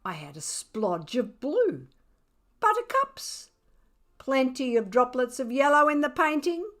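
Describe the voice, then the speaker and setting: A woman voicing a smug, defiant male pet cat who makes indignant, mock-innocent excuses in an expressive children's storybook reading. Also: sits high, unhurried, pitched above the performer's own voice, exaggerated voice.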